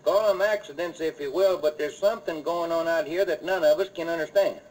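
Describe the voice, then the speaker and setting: A man's voice: on the high side, a little fast, a little mellow, slight background noise, natural voice, low-quality recording. An actor playing a troubled, plainspoken railroad foreman in an old radio western. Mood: earnest, troubled. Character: earnest, troubled male railroad crew foreman. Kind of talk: 1950s radio western acting.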